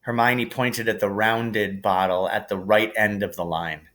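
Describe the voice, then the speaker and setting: A man's voice: lowish voice, steady tone, a little slow, a bit bright. A man reading a children's novel aloud as a storybook narrator.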